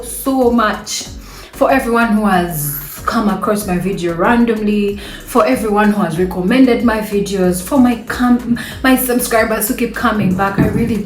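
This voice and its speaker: A woman's voice: mid-range voice, reverberant. A woman from Uganda chatting casually to camera in a vlog from her bedroom, effusive and repeating words for emphasis, with frequent laughs. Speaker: vlogger.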